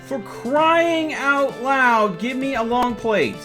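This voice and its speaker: A man's voice: extremely high pitch, lively intonation, slow pace. A man doing casual live gaming commentary as a streamer, talking himself through each step with exclamations. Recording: music behind the voice. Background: music.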